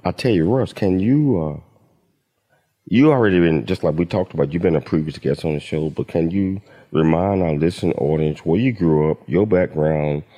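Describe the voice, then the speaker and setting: Male talk show host, deep and mellow, eager and rambling. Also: moderate pace, lo-fi sound. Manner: enthusiastic, rambling, many restarts. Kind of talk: casual radio talk show hosting.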